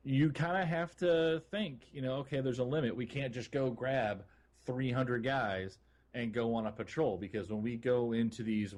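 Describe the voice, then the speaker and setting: A man's voice: medium pitch, moderate pace, a little mellow. A man co-hosting a gaming podcast, talking in an informal, conversational way. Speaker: podcast co-host. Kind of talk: casual gaming podcast chat.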